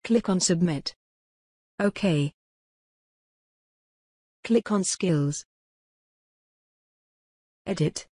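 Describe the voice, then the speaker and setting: Low-pitched woman narrating step-by-step instructions. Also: warm tone, breathy edge, dry recording, clean studio sound. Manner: measured, impersonal step-by-step delivery. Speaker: software tutorial narrator.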